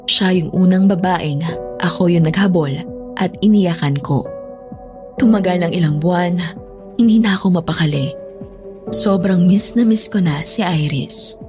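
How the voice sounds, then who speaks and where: Lowish female voice, scripted dramatic narration, first person, reflective, confessional, clear background music. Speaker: story narrator.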